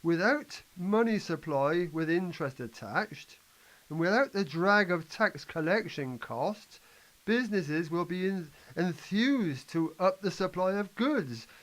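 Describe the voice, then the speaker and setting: Man acting as high-voiced reform advocate, lecturing. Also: animated, a little slow, fairly bright tone, rough recording. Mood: assured. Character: confident, impatient male economic reform advocate briefing a doubter. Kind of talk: amateur scripted play reading.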